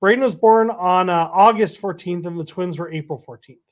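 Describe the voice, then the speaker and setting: A man's voice: sits high, fairly quick. A man, hosting a casual chat and teasing his co-host. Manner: easygoing, teasing.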